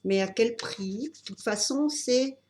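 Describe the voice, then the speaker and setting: A woman with a cold giving an unscripted tarot reading, talking in a halting way with many fillers and restarts. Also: fairly high pitch, a little mellow, a little hiss or hum. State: has a cold. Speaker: tarot reader.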